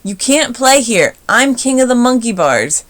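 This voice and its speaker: A woman acts out a bossy young playground bully from a storybook. He pitches his voice high and yells his taunts loudly, stretching words for emphasis. He sounds like a boy who thinks he rules everything. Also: sing-song, unhurried. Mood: bossy shouting.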